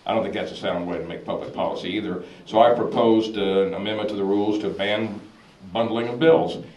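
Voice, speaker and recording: A hoarse man with a fairly low voice explains things at length, often stopping to restart a sentence. He is heard from a distance in an echoey church hall, with a faint hiss underneath. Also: brisk, a little mellow. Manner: earnest, detailed explanation with frequent restarts. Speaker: state legislator on a panel. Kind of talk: public forum talk.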